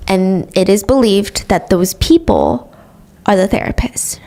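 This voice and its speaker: Animated woman telling a true crime story. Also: medium pitch, a little slow. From grew up speaking English, also Korean. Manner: sarcastic asides, animated retelling. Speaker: podcast host.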